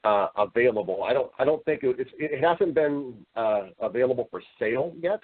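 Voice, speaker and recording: A man's voice: medium pitch, lively intonation, brisk. American man chatting over a phone-like connection. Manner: chatty, rambling, often repeating words. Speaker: interview guest, voice actor and entertainer. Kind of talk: casual storytelling in an interview. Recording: muffled, narrow phone-like sound.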